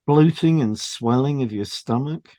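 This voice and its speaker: A man co-hosting a podcast, reading scripted health information in a measured, explanatory way. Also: mid-range voice, dark tone. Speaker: podcast co-host and hypnotherapist. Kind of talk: scripted educational podcast, read aloud.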